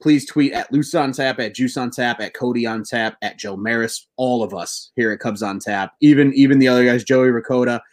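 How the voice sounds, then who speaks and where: A man in his twenties hosting a casual sports podcast, excitable and swearing, slightly buzzed. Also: mid-range voice, moderate pace, few pauses. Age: 20s. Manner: excitable, swearing, laughing, bro-ish banter.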